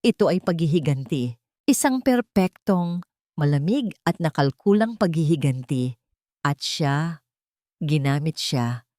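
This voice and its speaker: A woman narrating a scripted dramatic story in a suspenseful way, pausing between short phrases. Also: sits low, mellow, no room sound, studio quality.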